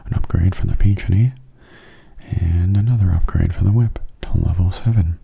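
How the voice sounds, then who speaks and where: A man giving soft-spoken, hushed ASMR commentary on a video game, slipping into whispers, close to the microphone. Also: low voice, normal speed, gravelly, husky.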